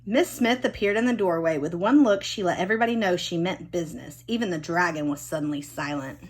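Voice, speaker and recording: A woman reading a children's storybook expressively, with dramatic emphasis and drawn-out key words. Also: on the low side, slightly rough. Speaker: storybook reader.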